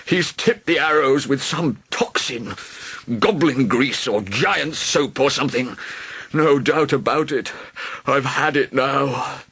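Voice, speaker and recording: A man's voice: mid-range voice, big pitch swings, measured pace, sharp tone, husky, full character voice. A man voicing a vain, rude and cowardly huge male dragon in a storybook, blustering and melodramatic. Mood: feeble. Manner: blustering and melodramatic, wailing when wounded. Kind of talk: storybook reading.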